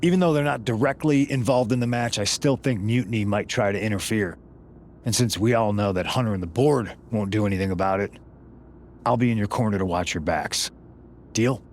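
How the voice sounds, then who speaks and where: A man's voice: on the low side, fairly quick, slight background noise, lightly acted. A man performing a shrewd, supportive wrestling manager in scripted game dialogue, assured and matter-of-fact. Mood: businesslike.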